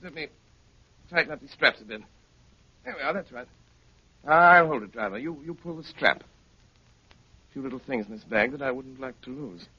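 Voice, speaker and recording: An actor playing a brilliant, composed gentleman detective in a 1930s radio drama, urbane, courteous and wryly commanding. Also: medium pitch, lively intonation, normal speed, long pauses, husky. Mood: suave, controlled. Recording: muffled, crackly 1930s radio broadcast.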